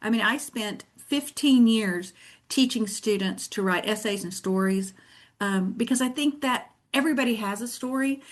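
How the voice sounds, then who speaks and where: Earnest middle-aged Southern American woman. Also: a little high. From Tennessee. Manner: earnest, reflective storytelling. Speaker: guest author. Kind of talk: casual interview chat.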